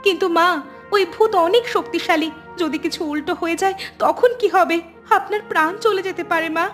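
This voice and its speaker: A worried young daughter figure in a horror cartoon. The voice is extremely high, respectful and pleading, over background music. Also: female voice, fairly quick. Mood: pleading, fearful. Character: anxious, pleading young woman, devoted to mother. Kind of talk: scripted Bengali horror cartoon acting.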